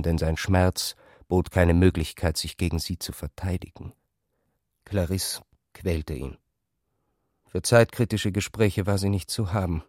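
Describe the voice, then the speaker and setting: Deep male narrator reading a novel for radio, super dry studio sound. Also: darkish tone, no echo. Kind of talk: scripted literary narration for radio.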